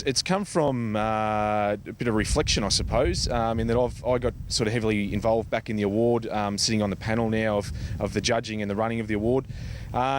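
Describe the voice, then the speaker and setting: An Australian man giving informal interview answers as a guest, speaking in a hesitant, halting way with many pauses. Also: medium pitch, slight background noise. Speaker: interview guest, charity foundation organiser.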